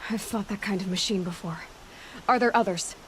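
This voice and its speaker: Female actor as young game heroine, huntress, terse, guarded, commanding, dry, medium pitch. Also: a little fast, fairly bright tone, slight background noise, lightly acted, low-quality recording. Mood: probing, determined. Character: determined, guarded young huntress questioning a captive. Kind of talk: video game cutscene acting.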